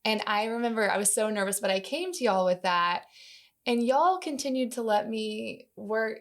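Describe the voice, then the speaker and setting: A woman's voice: medium pitch, gravelly. A woman speaking as an interview guest, telling stories casually and informally, often dropping "like" into her sentences. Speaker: interview guest, marketing staffer. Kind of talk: casual conversational storytelling.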